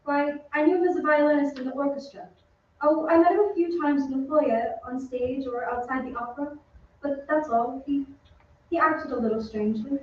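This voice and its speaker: Woman playing high-voiced young opera soprano, echoey stage. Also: fairly even intonation, fairly quick, slight background noise, lightly acted. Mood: uneasy. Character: gentle young woman opera singer, polite and flustered. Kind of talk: old-time radio drama acting. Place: theatre stage. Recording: echoey stage, distant muffled mic.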